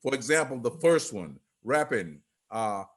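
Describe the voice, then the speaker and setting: An older man giving informal remarks on a group video call in a halting, rambling way. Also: medium pitch, big pitch swings. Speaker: theatre company leader.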